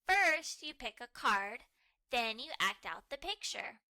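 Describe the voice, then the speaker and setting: A woman plays a young cartoon puppy girl, cheerful and eager. The voice is high and very bright, hopping about in pitch with child-like exclamations. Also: big pitch swings, takes it slowly, dry recording, full character voice.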